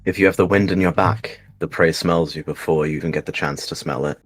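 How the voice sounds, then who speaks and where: A man voicing a stern but gentle adult male warrior cat, a mentor giving measured instruction in a roleplay. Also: low voice, fairly even intonation, breathy edge, faint music behind. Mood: gentle, instructing.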